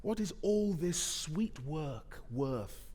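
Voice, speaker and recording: Guy actor doing a love poem on stage, high pitched, slow, loads of swoop in it. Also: animated, darkish tone. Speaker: actor reading poetry. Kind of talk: romantic poetry recital. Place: theatre stage.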